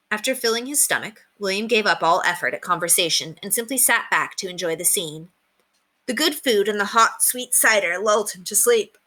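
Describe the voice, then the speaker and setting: A woman reading a children's book aloud as an expressive storyteller. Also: medium pitch.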